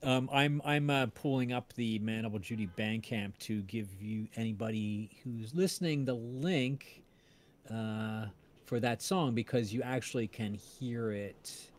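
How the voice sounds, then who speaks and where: A man's voice: medium pitch, a little slow. A man hosting a casual livestream chat over an online voice call. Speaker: livestream host.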